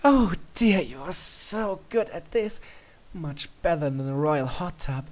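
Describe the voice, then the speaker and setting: A man's voice: on the high side, animated, a little slow, darkish tone, voice pushed up. A man voicing a regal, playful female pony princess in a coy, genteel manner for a fan fiction story. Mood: pleased, relaxed. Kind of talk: amateur fan fiction character reading.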